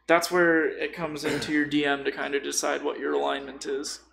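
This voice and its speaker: A man's voice: fairly high pitch, flat delivery, normal speed, gravelly, a bit bright. A man chatting casually and conversationally as a podcast co-host. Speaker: podcast co-host discussing tabletop role-playing.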